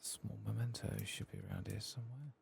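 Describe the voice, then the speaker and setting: A man with a gravelly, husky voice talking softly as he hosts an ASMR video game playthrough, at times drifting into whispers. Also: fairly low pitch, fairly even intonation, brisk, slight background noise. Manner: soft-spoken, murmuring, drifting into whispers. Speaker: host playing a video game for an ASMR channel. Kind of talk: soft-spoken ASMR game playthrough, reading quests aloud.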